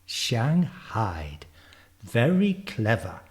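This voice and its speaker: A man's voice: mid-range voice, huge pitch swings, extremely slow, heavily acted. A man voicing an effusive, admiring woman schoolteacher in a storybook, speaking formally. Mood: gushing. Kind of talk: storybook character reading.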